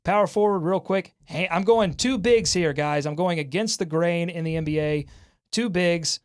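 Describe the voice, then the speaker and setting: A man with a fairly high-pitched voice hosts a sports talk show. He is excitable and jokey, keeping up lively banter with his co-hosts. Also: moderate pace, smooth.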